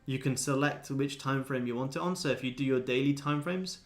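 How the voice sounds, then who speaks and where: A man in his twenties hosts a livestream over background music. His voice sits at a middling pitch. He talks in a laid-back, blokey way, swearing freely as he replies to his viewers. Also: fairly quick. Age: 20s. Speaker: livestream host, day trader. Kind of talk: casual livestream chat answering viewers.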